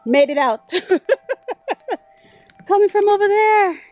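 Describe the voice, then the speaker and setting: A woman's voice: extremely high pitch, expressive, extremely slow, darkish tone, highs cut off, faint music behind. A Jamaican woman in her forties, vlogging casually while walking outdoors, recording on a handheld phone, playful with laughing asides. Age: 40s. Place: outdoors in rural bush and roadside. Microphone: handheld phone camera.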